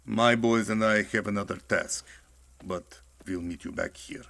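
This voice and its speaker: An actor playing a hearty but ruthless Soviet army lieutenant in a game cutscene. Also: male voice, fairly low pitch, a little slow, gravelly, a bit bright. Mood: jovial, commanding. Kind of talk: dramatic game cutscene acting.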